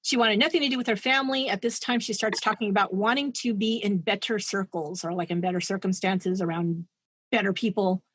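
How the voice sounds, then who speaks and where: A woman's voice: medium pitch, steady tone, fairly quick, a bit bright, breathy edge, no room sound. A woman co-hosting a podcast, retelling a true-crime story in a chatty, digressive way and laughing at her own asides. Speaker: podcast co-host.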